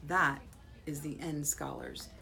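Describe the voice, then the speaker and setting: An American woman, a school principal, reading a children's picture book aloud expressively, with dramatic pauses and drawn-out words. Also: low voice, fairly even intonation. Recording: faint background noise.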